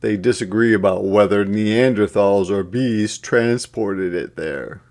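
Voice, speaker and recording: Slow-paced man reading aloud to children. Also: fairly low pitch, darkish tone. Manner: deliberate, sing-song counting and repetition for young children. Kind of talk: interactive read-aloud of a children's counting book.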